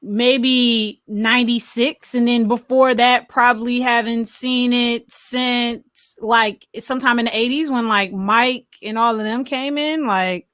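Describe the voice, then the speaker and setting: Female American podcast co-host, high voice, dry close sound, slangy casual banter. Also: fairly even intonation, measured pace, few pauses, a bit bright, no room sound. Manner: laughs often, slangy banter. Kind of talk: casual movie-podcast chat.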